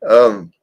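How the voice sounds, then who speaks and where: A man hosting a livestream, talking slowly and calmly about faith and gratitude in a soothing, gently preaching manner. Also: medium pitch, husky. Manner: slow, calm, gently preaching. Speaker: livestream host. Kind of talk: spiritual livestream talk.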